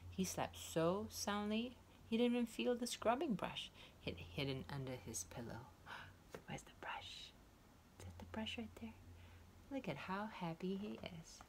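A young woman reading a picture book aloud to small children in a playful, expressive storytelling style with little asides. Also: medium pitch, measured pace, a little hiss or hum. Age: young adult. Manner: playful, expressive, exclaiming and questioning. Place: indoors, wood-ceilinged room.

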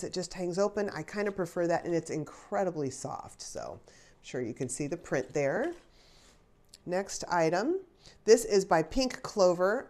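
A woman's voice: lowish voice, a little mellow. A woman in her fifties, a little stuffy from congestion, chatting casually as she unboxes and tries on clothes, on a lapel mic in a small bedroom. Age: 50s. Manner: chatty, thinking aloud with small laughs. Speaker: fashion try-on vlogger. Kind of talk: casual unboxing and try-on chat. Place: small pink bedroom, indoors. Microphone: clip-on lapel mic.

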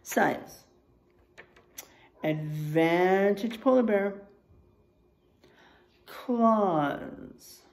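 A woman's voice: mid-range voice, drawn-out words, pauses often. A woman reads aloud to children like a teacher, expressively, encouraging them and putting questions to them. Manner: expressive, encouraging, addresses young listeners with questions. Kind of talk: animated children's read-aloud with asides to listeners. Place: small unfinished room or garage with drywall, indoors.

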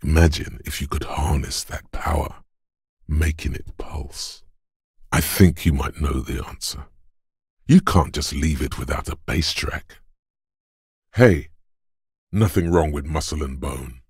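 A man playing a gruff, domineering inner voice of brute strength in a video game, blunt and deliberate in delivery. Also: a little mellow, no room sound, clean studio sound, heavily acted. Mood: measured, brooding, then proud coaching. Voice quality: gravelly, husky bass. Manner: blunt, domineering gym-coach goading mixed with slow literary narration. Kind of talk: video game voice acting, scripted narration.

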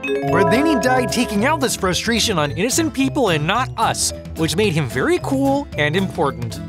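A man's voice: high voice, very animated, moderate pace, loud music behind the voice. A man voicing a pompous cartoon frog who lectures his followers in a solemn, didactic way. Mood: solemn, preachy.

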